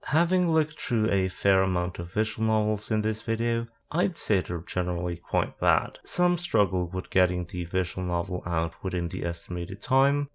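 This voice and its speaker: A man narrating a scripted video essay, reading dates and facts with dry, sarcastic asides. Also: low-pitched. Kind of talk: scripted voice-over commentary.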